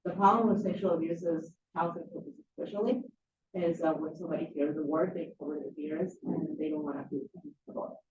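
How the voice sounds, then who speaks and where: A woman from Mexico, an advocacy program manager, speaking haltingly with many fillers at a county board meeting. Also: on the low side, animated, noisy background. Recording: roomy, distant, phone-like meeting recording.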